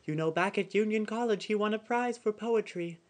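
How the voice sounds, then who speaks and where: A woman acting in an old-time radio drama style, playing gentle, concerned female characters. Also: mid-range voice, a little fast, close to an everyday voice. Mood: warm. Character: worried gentle mother, and a curious young girl.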